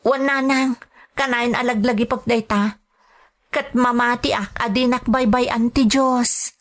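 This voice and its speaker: A woman's voice: on the high side, fairly even intonation, a little slow, fairly bright tone. A woman with a Filipino accent playing a patient, anxious young maid working abroad in a radio drama. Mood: reassuring, earnest. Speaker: drama actor. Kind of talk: radio drama acting and letter narration.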